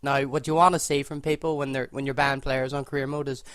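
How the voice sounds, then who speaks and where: Flat-voiced Northern Irish young man. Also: medium pitch, fast talker, clean tone. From Northern Ireland. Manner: relaxed, thinks aloud, self-correcting as he goes. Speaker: gaming video creator. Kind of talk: casual gameplay commentary.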